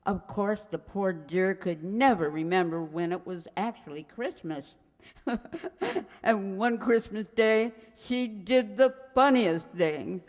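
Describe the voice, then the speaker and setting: A woman's voice: mid-range voice, slow, close to an everyday voice. A woman playing a sweet, forgetful elderly landlady who dithers and rambles in a radio comedy. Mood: fondly reminiscing. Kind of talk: radio comedy acting, old-time sitcom revival. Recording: very reverberant hall sound.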